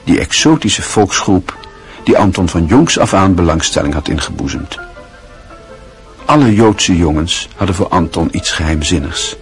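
A man narrating a radio play in a measured, even storytelling manner. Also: deep voice.

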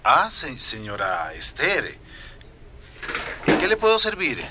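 A man's voice: medium pitch, wildly swooping pitch, slow, heavy background noise. An actor playing an evasive, flustered town mayor in a radio drama, stammering as he stalls.

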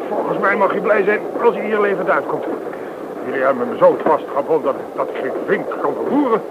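A man's voice: high voice, brisk, close to an everyday voice. A man playing an agitated, argumentative train passenger in a radio play. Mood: indignant. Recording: dull radio recording, loud train noise.

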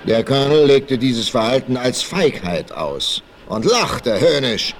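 Male narrator, mid-pitched, dramatic and highly animated storytelling, 1960s radio play, faint record noise. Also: unhurried. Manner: theatrical, suspenseful delivery.

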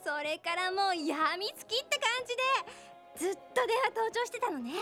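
A flighty, nosy young woman with a very high, girlish voice. Her chatty radio drama delivery swings up and down in pitch, coaxing and gossipy, with music faintly behind her. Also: expressive, fairly quick, a bit bright. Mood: excited gossip. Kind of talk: radio drama acting, casual conversational Japanese.

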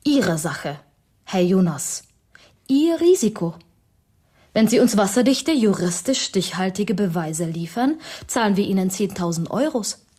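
Cool, haughty young executive. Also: female voice, medium pitch, big pitch swings, unhurried, warm tone, slightly rough. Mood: cold, dismissive. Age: young adult. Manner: formal, businesslike, clipped. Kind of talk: German radio drama acting.

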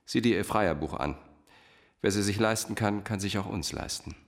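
An actor playing a cold, manipulative doctor in a crime radio drama, speaking in a measured, condescending, commanding way. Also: male voice, fairly low pitch, creaky. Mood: calm, commanding. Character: controlling male doctor, cold manipulative cult-like mastermind. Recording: music playing behind the voice.

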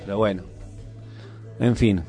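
A man's voice: fairly low pitch, fairly even intonation, measured pace, dark tone. Argentine man chatting, faint music behind. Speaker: radio co-host. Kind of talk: casual chat, giving opinions.

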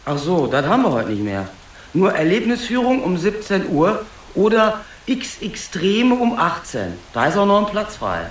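An actor playing a casual, blunt ticket seller in a radio drama, offhand, colloquial and slightly cheeky. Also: male voice, sits high, wildly swooping pitch, normal speed, echoey room, slight background noise. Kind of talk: naturalistic radio drama dialogue.

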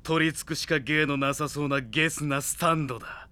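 An actor playing a tough, coolly defiant teenage boy in an audio drama, gruff and curt. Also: fairly high pitch, steady tone, brisk, sharp tone. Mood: grim. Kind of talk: theatrical Japanese audio drama acting.

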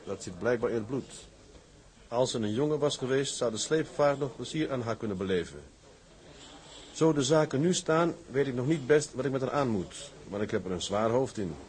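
An actor playing an ambitious, proud tugboat captain in a period drama, firm and businesslike. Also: male voice, medium pitch, lively intonation, normal speed, a little mellow, natural voice. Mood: reading aloud. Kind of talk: acted period drama dialogue.

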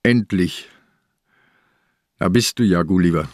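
Ailing old detective, lowish voice, wry, terse, shrewd, radio crime drama, dry sound. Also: male voice, slow pace, a little mellow, dry recording. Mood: relieved, expectant. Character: sick old male police inspector, shrewd and wry.

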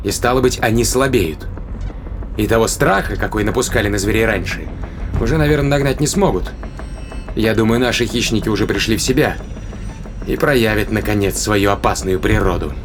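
A man's voice: fairly low pitch, brisk, music in the background, natural voice. An actor playing a determined, risk-taking man in an audio drama, arguing insistently and persuasively with rhetorical questions. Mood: insistent, fervent. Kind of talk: dramatic audio play acting.

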